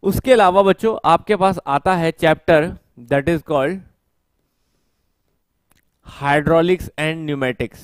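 A man in his thirties teaches at a studio whiteboard, speaking into a headset mic. His voice sits a bit high for a man, and the sound is close and dry with no room echo. He explains patiently and drags out technical terms while he writes. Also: clean tone. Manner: explains step by step, repeats key terms slowly while writing; mixes English technical terms into Hindi speech. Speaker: exam coaching teacher. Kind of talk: whiteboard lecture.

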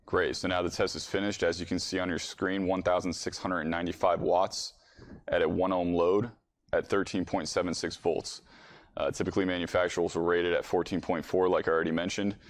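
A man's voice: sits low, flat delivery, brisk, no room sound. An American man in his thirties giving a casual product demonstration as a company boss. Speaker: company CEO presenting a product. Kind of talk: casual product demo presentation. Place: indoor workshop, in front of a workbench.

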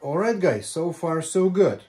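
A man in his sixties presents a hands-on tutorial in a mellow, relaxed voice. He takes his time, talking informally and leaning on key words, with folksy asides along the way. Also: a little high, measured pace, faint music behind. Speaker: ham radio tutorial presenter. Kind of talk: informal how-to explanation. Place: small home radio room by a window.

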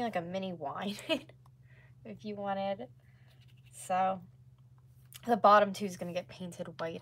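A woman in her twenties with a slightly hoarse voice, chatting casually as she livestreams crafts from home. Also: mid-range voice. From North America. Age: 20s. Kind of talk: casual chat while painting. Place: small room at home, indoors. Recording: clearly noisy home livestream recording.

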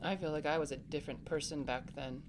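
A protective young big brother voiced by a woman in a family audio drama, slightly low in pitch for a female voice. He sounds earnest, responsible and reassuring, with faint noise in the background. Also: steady tone, fast talker, close to an everyday voice. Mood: reflective, earnest.

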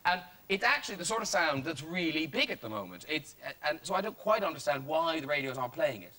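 A man presenting a TV chat show in a studio, bubbly, giggly and hurried as he talks to his guest. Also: a little high, animated, bright, forceful tone.

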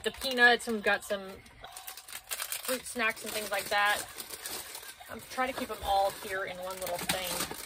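A woman's voice: mid-range voice, noisy background. Chatty woman sorting her pantry. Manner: chatty, practical, thinking aloud as she sorts cans. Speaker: prepper vlogger. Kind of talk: casual talk while organizing a pantry. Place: small home pantry with shelves, indoors. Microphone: camera on a low shelf, a few feet away.

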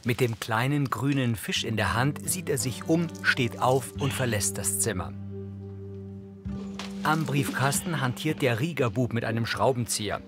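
A man narrating scenes of a TV drama in a measured, matter-of-fact way, as audio description. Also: mid-range voice, over music.

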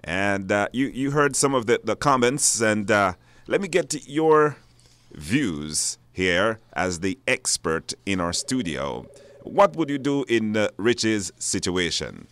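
A man hosting a live radio phone-in, speaking haltingly and repeating words. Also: on the low side, sing-song, a bit bright, no echo. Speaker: radio talk show host. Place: radio studio.

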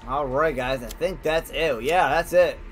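A man's voice: on the high side, measured pace. A man doing casual gameplay commentary, thinking aloud and chatting informally to his viewers. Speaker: gamer, host of a let's play. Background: game music.

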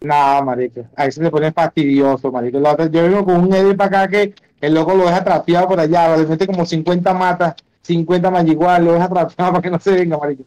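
A man's voice: on the high side, fairly even intonation, quick pace, few pauses, a little mellow, rough recording. A Venezuelan man chatting casually and swearing in slang while he streams an online game. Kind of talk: casual voice chat while gaming.

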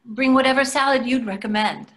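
A woman's voice: a little high, a little fast, fairly bright tone, lightly acted. An older woman playing a polite, placating tourist diner in a readers theatre comedy, speaking a little hesitantly. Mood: conciliatory. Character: polite, hungry New York tourist diner, conciliatory. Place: plain room indoors on a video call. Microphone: video call microphone.

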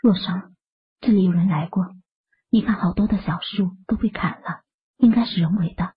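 A woman voicing an anxious young woman stranded on an island, speaking low and half-whispered in an audio drama. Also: moderate pace, slightly rough, muffled recording. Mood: uneasy whisper.

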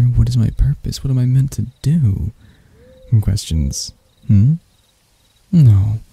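A man voicing a deranged, seductive spider vampire in an intimate ASMR roleplay, soft and breathy, teasing and theatrical, doting yet menacing. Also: fairly low pitch, dry recording, heavily acted. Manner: slow, teasing, theatrical, with dark chuckles.

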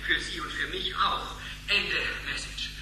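A man's voice: on the high side, a little slow, very echoey, crowd noise, close to an everyday voice, rough recording. A man playing a cheeky young caller in a radio play. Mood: mock-solemn reading. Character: cheeky young man reporting over a video line. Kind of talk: radio play acting, reading out a message.

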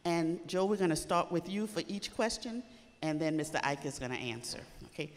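An older woman moderates a panel, asking slow, clear questions in a lively, rising and falling voice. She holds a handheld mic in a community hall, and her voice carries a long echo. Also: low voice.

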